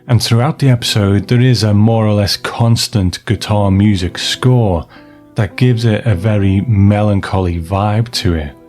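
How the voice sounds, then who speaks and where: A man presenting scripted commentary as a podcast host, measured and explanatory in manner. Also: on the low side, a little mellow. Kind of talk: scripted commentary on classic television.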